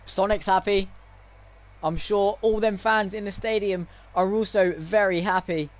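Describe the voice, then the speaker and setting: High-pitched young man commentating on sim racing. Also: steady tone, measured pace. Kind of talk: live esports race commentary with casual chat.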